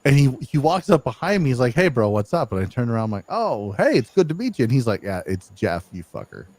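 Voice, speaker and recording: Man, podcast host, lively swooping voice, dry close sound, profane banter. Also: medium pitch, fast, no echo. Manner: swears freely, excitable storytelling. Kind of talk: casual live-stream chat. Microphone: video call.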